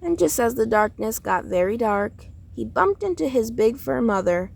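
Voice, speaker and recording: A woman reading a children's story aloud in a deliberate, expressive storytelling way. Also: a little high, creaky, breathy edge. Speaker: storybook reader.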